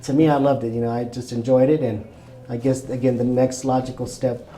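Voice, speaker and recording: A relaxed man from Mexico answers interview questions in a warm, low-key voice, often pausing on "uh" and "you know." He sits back from the mic, and the room around him echoes. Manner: relaxed, rambling with many fillers and restarts. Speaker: realtor. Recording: roomy, echoey, mic at a distance.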